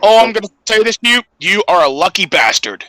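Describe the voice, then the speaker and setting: Bright, forceful higher-voiced man. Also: big pitch swings, brisk, no room sound. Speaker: podcast co-host. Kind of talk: casual opinionated chat.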